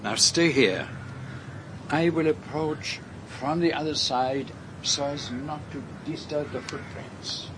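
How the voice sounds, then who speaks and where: A shrewd, courteous detective speaks with a French accent in a radio mystery. He is formal and deliberate, choosing each word with precision. Faint noise sits behind him. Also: a little high. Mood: measured, observant. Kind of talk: radio drama acting.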